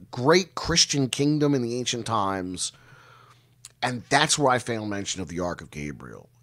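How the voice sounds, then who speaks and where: Man, middle-aged, lower pitch, conversational storyteller, measured delivery, dramatic pauses. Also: a bit bright. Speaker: guest storyteller and researcher of mysteries. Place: indoor room, video call.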